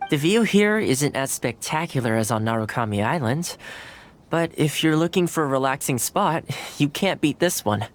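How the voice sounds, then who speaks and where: An actor voicing a courteous, easygoing young male retainer in game dialogue, polite and gently humorous. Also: a little high, slight background noise.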